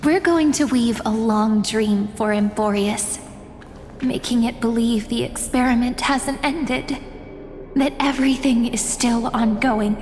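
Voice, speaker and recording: A playful, wistful young heroine. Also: female voice, on the high side, measured pace, slight background noise. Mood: calm. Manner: teasing and gentle. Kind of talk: game cutscene voice acting. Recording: long reverb with faint music.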